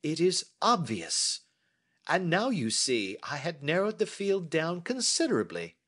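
A man's voice: fairly high pitch, huge pitch swings, unhurried, fairly bright tone, slightly rough, voice pushed up, heavily acted. A man reading as a brilliant, self-assured Victorian detective who explains his deductions precisely. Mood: lecturing.

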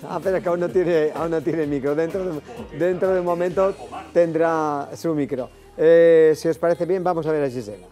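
A man's voice: fairly high pitch, steady tone, moderate pace, mellow, soft background music. An older man hosting a variety show in a TV studio, upbeat as he introduces guests. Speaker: TV show host. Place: TV studio set.

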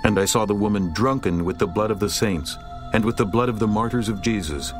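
A man narrating scripture in a slow, solemn, stately reading over music. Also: lowish voice.

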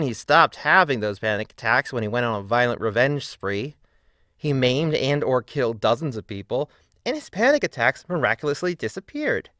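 A man's voice: medium pitch, fairly bright tone, no room sound, studio quality. A man narrating a scripted video essay in a critical, emphatic, argumentative way.

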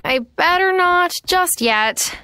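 A woman's voice: very high voice, animated, takes it slowly, pushed and bright, soft background music, full character voice. A woman playing an earnest, polite young newcomer in a video game, with a slightly hesitant manner. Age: young adult.

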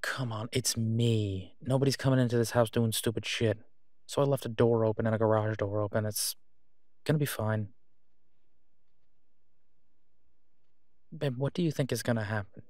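A man playing a tired, devoted and protective werewolf in an intimate audio drama phone call, speaking in a halting, reassuring way. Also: fairly low pitch, steady tone, a little mellow, dry recording, clean studio sound, lightly acted. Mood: reassuring, casual.

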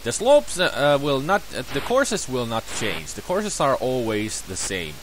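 A man's voice: mid-range voice, very animated, a little slow, noisy background. A man in his thirties with a sore throat, chatting casually over an old ski game as a let's player, rambling and correcting himself with bursts of cheering. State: sore throat, voice lower than usual. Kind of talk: casual gameplay commentary.

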